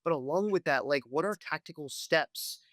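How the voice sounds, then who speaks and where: A man with a slightly high voice hosts a conversational interview. He builds long, halting questions and keeps checking in as he goes, in a dry recording with very little room sound. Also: fairly bright tone, no echo. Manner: halting, wordy questions with repeated check-ins. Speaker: podcast host, interviewer.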